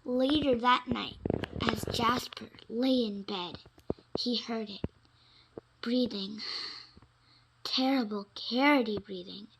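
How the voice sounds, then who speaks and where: A woman reading children's stories aloud in an expressive, dramatic way, with suspenseful pauses. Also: fairly high pitch, gravelly, background noise. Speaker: storybook reader.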